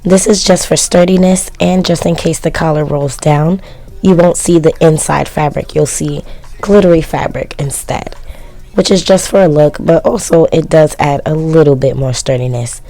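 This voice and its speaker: A woman talks through her sewing project in a casual voice-over, low and mellow, with a gravelly fry. Her voice is worn and cracks from constant yelling, and she keeps tossing in self-mocking, shrugging asides. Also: fairly even intonation, moderate pace. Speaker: sewing vlogger. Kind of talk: casual DIY voice-over narration.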